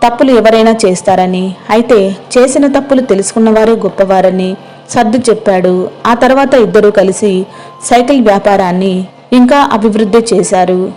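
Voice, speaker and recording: Mellow-voiced woman narrating a story. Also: mid-range voice, moderate pace, poor audio. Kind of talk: scripted moral story narration.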